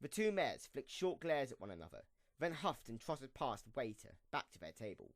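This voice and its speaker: Animated male story narrator, dry recording. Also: medium pitch, normal speed, no echo.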